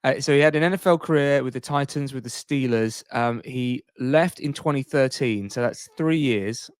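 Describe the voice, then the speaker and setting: Male podcast co-host, medium pitch, dry studio-like sound, casual conversational sports talk. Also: moderate pace, smooth, no echo.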